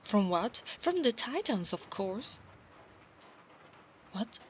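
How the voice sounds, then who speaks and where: Woman playing a slow-spoken, proud centaur knight maiden. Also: mid-range voice, very animated, slight background noise. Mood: proud, explaining. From Italy. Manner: formal, commanding, haughty, archaic phrasing. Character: proud, insistent female centaur knight of a holy order. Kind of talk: ASMR roleplay.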